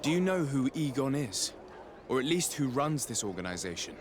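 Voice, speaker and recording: A man acts out a noble envoy in a video game. He is composed and courteous, with formal, measured speech in a mid-range voice. Clear outdoor noise sits behind him. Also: mid-range voice, sing-song, natural voice. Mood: probing. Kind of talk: video game cutscene acting.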